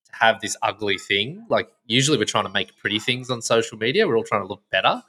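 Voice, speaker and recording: Bright, chatty male podcast host. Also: on the low side, quick pace, slight background noise, poor audio. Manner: relaxed, chatty questioning with fillers. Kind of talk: casual podcast interview.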